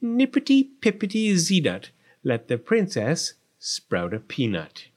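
A man voicing a poor old wise woman in a storybook, with a deliberate, solemn manner. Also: a little high, wildly swooping pitch, voice pushed up, exaggerated voice. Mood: solemn incantation. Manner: pleading, then solemn pronouncements.

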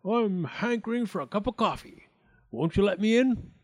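A big bad storybook wolf, acted out by a man, sly and menacing. He talks with slangy street swagger, pitched up high and swooping boldly. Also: expressive, normal speed, a little mellow. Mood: sly, coaxing. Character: big hungry wolf, sly, smooth-talking, sneering and menacing.

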